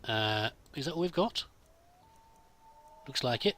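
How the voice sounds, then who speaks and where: A man's voice: mid-range voice, lively intonation, a little slow, fairly bright tone, slight background noise. A man hosting a let's play with casual role-played commentary, chatty and musing to himself.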